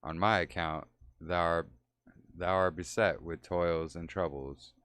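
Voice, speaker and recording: A man's voice: low voice, steady tone, measured pace, fairly bright tone, clean tone. A man reading the lines of a grieving, guilt-stricken woman in archaic speech.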